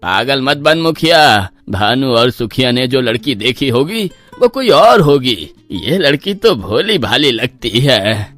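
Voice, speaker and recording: An actor playing an opinionated, lecherous village uncle in a cartoon story, with an emphatic manner. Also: male voice, mid-range voice, big pitch swings, slow pace, a bit bright, faint music behind. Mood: flirtatious. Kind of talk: Hindi cartoon horror story.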